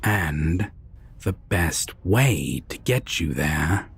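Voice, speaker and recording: A man playing a sadistic, possessive vampire villain in a dark audio roleplay, husky and often near a whisper, deliberate and condescending. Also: deep voice, very animated, slight background noise, full character voice. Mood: cold, threatening. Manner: menacing, slow, deliberate, condescending.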